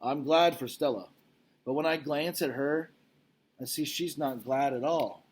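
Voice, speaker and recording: A man's voice: a little high, a little slow, husky. A man speaking as a teacher, casually recapping and reading a storybook aloud in an informal, explanatory way, checking in with listeners.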